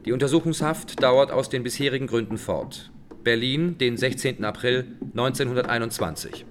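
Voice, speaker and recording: A man's voice: mid-range voice, close to an everyday voice. Guy playing an impersonal clerk reading stuff out formally, medium voice, noisy with typing clicks. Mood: official, impersonal. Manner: official, deliberate, enumerating. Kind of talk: German radio drama, formal read-out.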